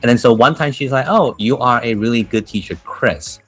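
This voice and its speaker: A man with a Taiwanese accent who teaches English, chatting casually and telling animated stories with laughs and restarts. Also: medium pitch, brisk, faint music behind. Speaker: English teacher, podcast host. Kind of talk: casual chat.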